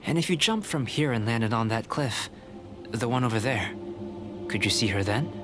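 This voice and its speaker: A man voicing a calm, courteous alchemist researcher in a video game, speaking in a measured, formal, articulate way. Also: medium pitch, over music, close to an everyday voice. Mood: intrigued, measured.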